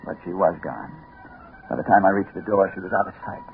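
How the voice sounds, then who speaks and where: A man's voice: deep voice, huge pitch swings, brisk, gravelly, exaggerated voice. An American actor playing a haunted hard-boiled detective obsessed with a woman, in 1950s radio noir style. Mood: brooding narration. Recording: noisy, phone-like 1950s radio recording.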